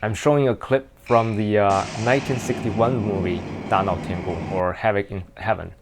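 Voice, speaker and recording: A man's voice: fairly low pitch, fairly even intonation, normal speed, noisy background. A man who is a native Mandarin speaker with a Chinese accent, giving modest, informal explanatory commentary for a game video. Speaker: video creator, narrator. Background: music.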